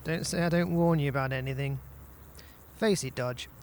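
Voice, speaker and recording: A man's voice: a little high, moderate pace, darkish tone, slight background noise, natural voice. A man playing a cocky teenage schemer with a Stoke accent, full of comic-book bravado. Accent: Stoke-on-Trent. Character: cocky young teenage boy Pete, schemer.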